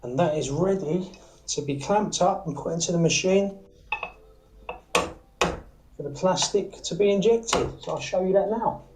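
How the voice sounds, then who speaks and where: A man's voice: high voice, unhurried, long pauses, noisy background. A British man giving a casual hands-on workshop demonstration, informal and often checking in with the viewer as he explains each step. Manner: informal, often checks in with "all right?" and "okay?". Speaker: antenna maker demonstrating his workshop process. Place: small workshop, indoors.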